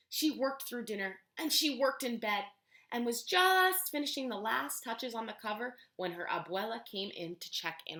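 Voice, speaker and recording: A woman teaching preschool, reading a picture book aloud with expressive, animated storytelling and pausing to ask the children questions. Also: on the high side. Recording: echoey room.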